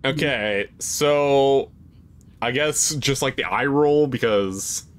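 A man's voice: mid-range voice, takes it slowly. A man hosting a gaming video, rambling playfully and casually with friends in reaction to a game. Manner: playful, rambling, sing-song asides. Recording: faint background noise.

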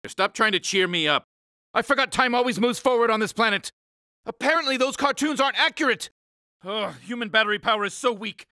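A man voicing a grumpy, uptight, pompous alien spaceship leader in an animated cartoon game, exasperated and emphatic. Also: extremely high pitch, brisk, dry recording, clean studio sound, exaggerated voice.

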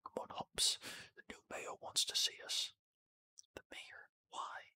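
A person whispering as they read a film screenplay aloud in an ASMR style, narrating and voicing the parts with a breathy voice. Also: slow, sharp tone.

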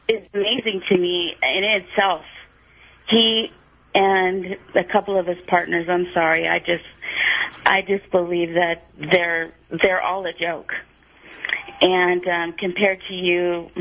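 A woman calling in to a radio show, gushing and flirtatious, with a giggly manner. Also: fairly low pitch. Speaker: caller. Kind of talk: phone-in call. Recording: tinny phone line on radio.

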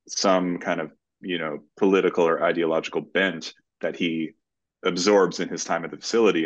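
Very deep-voiced man, muffled call. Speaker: screenwriter being interviewed. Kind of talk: conversational interview answers. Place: indoor room at home, video call. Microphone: video call microphone. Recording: dull lo-fi video-call audio.

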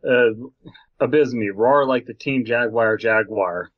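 A man's voice: medium pitch, takes it slowly, no room sound, lo-fi sound. A man hosting a podcast, reading a horror story aloud in a joking, mock-dramatic way. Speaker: podcast host.

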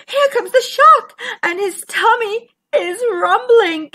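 A woman's voice: very high-pitched, lively intonation, takes it slowly, sharp tone, pitched above the performer's own voice, exaggerated voice. A woman voicing terrified, fussing small fish in a children's storybook, shrilly crying out.

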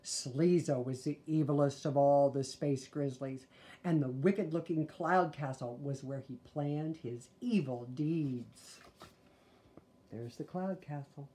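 A woman reads a children's storybook aloud, deliberate and expressive, with chatty asides. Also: low-pitched. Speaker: storybook reader. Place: small living room, indoors.

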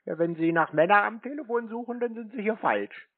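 A man in a phone conversation, answering in a laconic, deadpan manner. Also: high voice, a little fast, no room sound. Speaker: prank scam-baiter taking calls. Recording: narrow-band dry phone recording.

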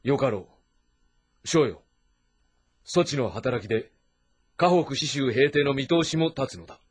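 An actor playing a shrewd middle-aged warlord of ancient China, husky and deliberate, in a historical video game. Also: male voice, medium pitch, lively intonation, soft background music. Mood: calm, commanding. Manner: authoritative, deliberate, lordly. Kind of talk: dramatic Japanese historical game voice acting.

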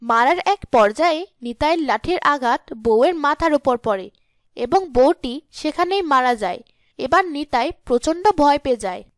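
A woman's voice: high voice, expressive, normal speed, dry recording. A woman reading scripted storytelling narration for a cartoon.

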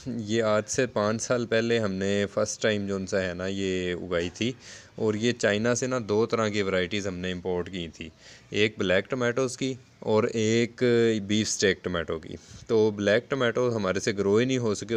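Low-pitched man, casual vlogger. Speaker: home gardening video host. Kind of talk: casual explanatory vlog narration.

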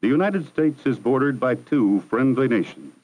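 A man narrating a 1960s educational film in a measured, formal, instructive manner. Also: mid-range voice, expressive, darkish tone, low-quality recording. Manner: measured, formal, didactic delivery. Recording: 1960s archival film soundtrack.

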